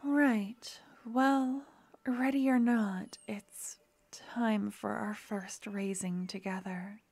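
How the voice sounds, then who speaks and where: A woman voicing a gentle young necromancer girlfriend in an ASMR fantasy roleplay, speaking softly and often whispering. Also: medium pitch, steady tone, very slow, no room sound. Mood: tender. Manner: soft and intimate, often whispering.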